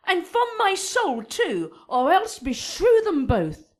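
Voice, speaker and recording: A woman's voice: very high-pitched, huge pitch swings, takes it slowly, exaggerated voice. An actress playing an earthy, pragmatic nurse to a noble girl in a theatrical Shakespearean radio drama. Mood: pragmatic, coaxing.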